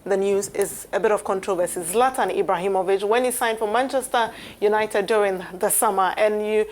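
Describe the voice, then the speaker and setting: Female TV sports presenter, medium pitch, chatty live delivery with pauses, light noise behind. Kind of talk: live sports news segment with studio chat. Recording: steady background noise.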